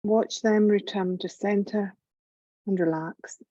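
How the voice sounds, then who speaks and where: Calm lady doing slow relaxation meditation, mellow dark tone, kinda muffled recording. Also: medium pitch, dry recording. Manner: gentle, calm, soothing, measured with long pauses. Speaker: yoga nidra meditation teacher.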